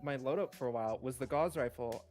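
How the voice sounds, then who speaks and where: A man's voice: mid-range voice, fast, a little mellow. A man co-hosting a podcast, chatting informally about games and using "like" often. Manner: informal, conversational, uses "like" often. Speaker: podcast co-host. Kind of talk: casual chat about games. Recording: background music under the talk. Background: background music.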